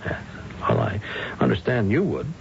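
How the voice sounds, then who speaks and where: An actor playing a patient, methodical police lieutenant who questions people politely and hesitantly in an old radio drama. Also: male voice, medium pitch, very animated, normal speed, creaky. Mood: probing. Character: unhurried, probing male police lieutenant questioning a suspect. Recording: noisy, muffled old radio recording.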